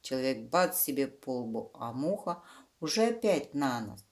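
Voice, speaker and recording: Slow, low-voiced woman storyteller. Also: very animated, a little mellow. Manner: fond diminutives, explaining to children. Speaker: children's book reader, channel host. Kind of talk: storytelling for young children, with simple asides on the pictures.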